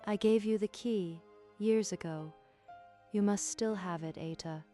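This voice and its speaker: A frail old grandmother voiced by an actress with a soft, mellow tone. She sounds drowsy and tender in a manga drama, with music playing behind. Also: mid-range voice, a little slow, close to an everyday voice. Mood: weak, tender. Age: older. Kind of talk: dramatic manga dub dialogue.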